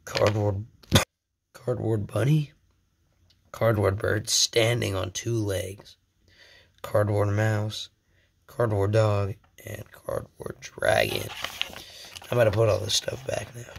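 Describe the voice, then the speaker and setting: A man's voice: fairly low pitch, animated, slow, gravelly, long pauses, breathy edge. A young man hosting a casual show-and-tell vlog at home, a little sick, rambling and self-deprecating, often dropping into whispers. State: sick, voice slightly off. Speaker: channel host.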